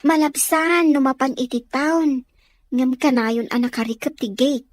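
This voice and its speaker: An actress playing a talkative, gossipy aunt in an Ilocano radio drama, explaining things informally. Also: female voice, high-pitched, measured pace, dry recording. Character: talkative auntie answering a child's questions.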